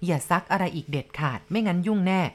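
A scrawny old shaman, short-tempered and commanding, snaps orders in a fairly low voice, as a woman narrator plays him. The delivery stays close to a plain storytelling voice. It comes across as a told ghost story. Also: quick pace, a little mellow, lightly acted. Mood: stern. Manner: stern, commanding. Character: thin old male Karen shaman, stern and irritable.

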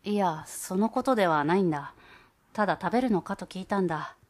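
A woman voicing a blunt, gruff young man who plays the cello, in a storybook reading. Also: lowish voice, lively intonation, brisk, darkish tone. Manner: blunt, rough speech.

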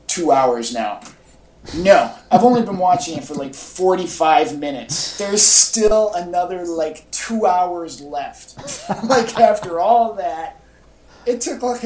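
A man's voice: a little high, a little mellow. A man co-hosting a casual film chat podcast, talking in an exasperated, hyperbolic way. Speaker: podcast co-host. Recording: echoey podcast audio.

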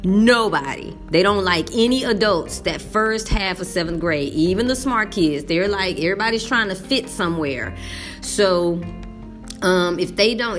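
A woman's voice: lowish voice, a bit bright. A Texan woman teacher talking casually about middle school, folksy and emphatic, slipping into the voices she uses in class. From Texas. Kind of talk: casual talk to camera.

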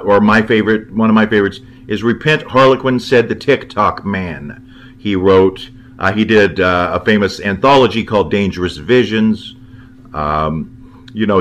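A man giving casual spoken film commentary in a halting, conversational and opinionated way. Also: fairly low pitch, a bit bright. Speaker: writer, guest film commentator.

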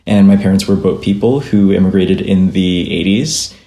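Low-voiced man in an echoey room. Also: moderate pace, creaky, dark tone. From Philippines-born, raised in Minnesota. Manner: thoughtful, hedging, laughs lightly. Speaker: guest, comic book artist. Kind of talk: remote interview conversation. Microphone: remote call.

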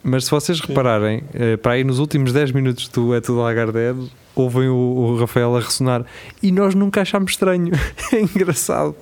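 Portuguese dude on a podcast, mid-pitched voice, rambling funny stories, swears a lot. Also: male voice, fairly quick, clear, resonant tone. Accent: European Portuguese. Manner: animated storyteller, swears and laughs often. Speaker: podcast co-host. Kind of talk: casual chat among friends.

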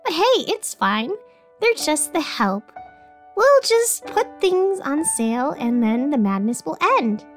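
A woman playing a cheerfully menacing announcer in a parody radio commercial, with deadpan, blunt sales patter. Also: sits high, slow pace, soft background music, exaggerated voice. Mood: blasé salesmanship. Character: cheerfully menacing female craft store advertisement announcer.

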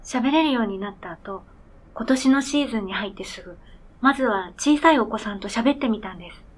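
A high-voiced, earnest snowmobile that has learned to talk , played by a woman. It speaks in formal, polite sentences with a matter-of-fact manner, as in radio drama dialogue. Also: expressive, fairly quick. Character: earnest, polite talking snowmobile with its own identity.

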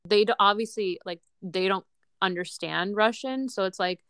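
A woman's voice: medium pitch, normal speed, fairly bright tone, clear, resonant tone, dry recording, clean studio sound. A woman co-hosting a casual podcast chat, talking bluntly and filling her sentences with "like". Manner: blunt, peppered with "like". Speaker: podcast co-host.

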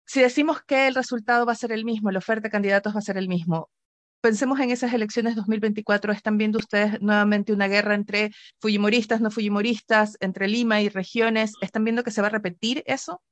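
A woman's voice: mid-range voice, steady tone, fast talker, no room sound. A woman from Ecuador, hosting an interview and asking questions in a conversational way. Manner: conversational, frequent short backchannels. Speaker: interviewer host.

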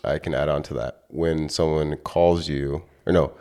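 Very deep-voiced blunt man. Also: steady tone, moderate pace, a little mellow, smooth. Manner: blunt, swears casually, riffs on her points. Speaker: podcast co-host. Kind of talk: casual chat. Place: home podcast setup.

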